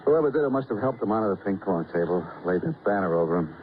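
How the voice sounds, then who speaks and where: Morose low-voiced club caretaker played by a man. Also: expressive, fast talker, phone-quality sound, lightly acted. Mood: wry, mournful. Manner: terse, wry, streetwise phrasing. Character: morose, laconic male veterans' club caretaker. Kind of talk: 1940s radio drama acting. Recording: noisy, band-limited old radio recording.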